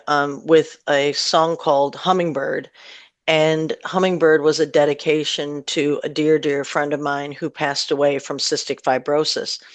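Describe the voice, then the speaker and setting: A woman's voice: sits low, no room sound. A woman with a Midwestern American accent, chatting casually as a singer-songwriter guest in an interview, thinking aloud in loose, unfinished sentences. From northern Michigan, USA. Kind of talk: casual radio interview chat.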